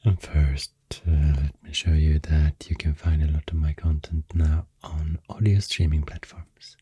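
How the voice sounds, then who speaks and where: A man with a Swedish accent rambles in soft-spoken, near-whispered ASMR, gentle and unhurried, close to the microphone. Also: fairly even intonation, dry recording. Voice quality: breathy, near-whispered deep voice. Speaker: ASMR video host.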